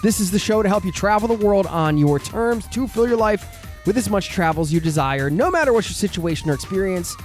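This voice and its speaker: A man's voice: sits high, expressive, fairly quick, soft background music. A man hosting a travel podcast, reading a friendly intro and then chatting casually with his guest. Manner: upbeat, friendly, joking with guest. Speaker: podcast host.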